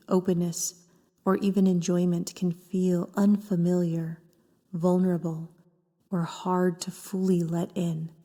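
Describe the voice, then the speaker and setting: A woman leading a scripted guided meditation in a gentle, soft voice with breathy phrase endings and long pauses between short phrases. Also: fairly low pitch. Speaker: therapist guiding a meditation. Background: background music.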